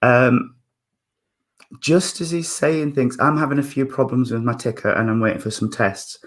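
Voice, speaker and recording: A man in his fifties with a husky voice, giving a spiritualist mediumship reading in a halting way, with many fillers and restarts as he thinks aloud. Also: mid-range voice. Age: 50s.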